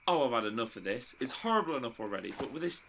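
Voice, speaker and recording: A man playing a brisk, practical young man in a fan audio drama, talking in an impatient way. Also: mid-range voice, sing-song, a bit bright, a little hiss or hum, rough recording.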